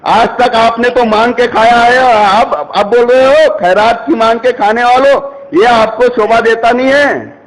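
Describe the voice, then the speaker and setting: A woman arguing heatedly on a phone call, scolding, confrontational and quick to cut in. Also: fairly high pitch, a little hiss or hum.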